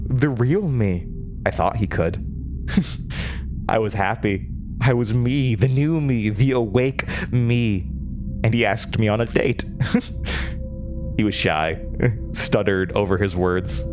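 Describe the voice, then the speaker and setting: An unhinged, lonely woman, acted out by a man tells her tale in a horror audio drama. The delivery is slow, halting and eerily wistful, as if steered by inner voices. Music plays clearly behind the voice. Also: on the low side. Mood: wistful, giddy.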